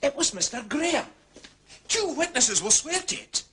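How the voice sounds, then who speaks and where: Casting: dutiful, easily flustered Scottish policeman, forceful bright tone, radio drama, slight background noise. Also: extremely high pitch, big pitch swings, normal speed, heavily acted. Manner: formal report phrasing, defensive.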